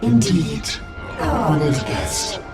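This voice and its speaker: A man's voice: fairly high pitch, wildly swooping pitch, slow pace, over loud music, heavily acted. A man voicing a monstrous collective being that calls itself "we" and talks with cold, formal courtesy. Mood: menacing calm. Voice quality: gravelly, speaks as "we". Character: monstrous collective male abomination, coldly polite. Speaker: game villain. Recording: echoey, distant, loud score behind.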